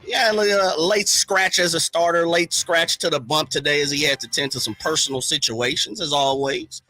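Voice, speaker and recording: A man's voice: on the high side, normal speed, pushed and bright, no echo, faint music behind, poor audio. A man co-hosting a sports radio show, talking in a hype, slangy, playful way. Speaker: radio co-host.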